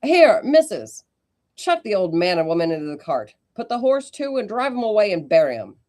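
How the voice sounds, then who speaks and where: A woman voicing a brash old male street auctioneer who teases the crowd in loud, rising sales patter. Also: medium pitch, sing-song, moderate pace, lightly acted. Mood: hawking.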